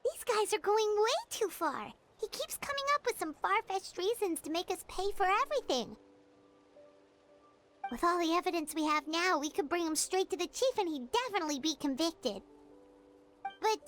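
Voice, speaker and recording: A woman voicing a small, childlike fairy companion in a video game, perky and suspicious, with a squeaky voice. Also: normal speed, faint music behind. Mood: scheming, suspicious. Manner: excitable, refers to self by name.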